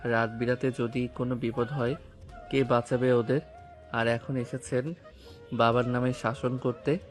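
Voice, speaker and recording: A man's voice: mid-range voice, flat delivery, unhurried, lightly acted. Guy as protective young cop husband, angry and commanding, mid voice, music faintly behind. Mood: firm, challenging. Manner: commanding, confrontational. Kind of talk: audio romance story drama acting.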